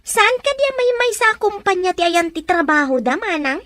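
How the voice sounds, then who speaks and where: A woman's voice: very high-pitched, big pitch swings, moderate pace, fairly bright tone, no echo, exaggerated voice. A woman playing a friendly, teasing shopkeeper neighbor who loves to chat. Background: background music.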